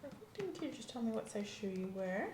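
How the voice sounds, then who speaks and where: A woman's voice: on the high side, big pitch swings, fast, roomy sound, background noise. A woman doing an ASMR medical exam roleplay as an examiner, methodical and professional in the way she questions a patient.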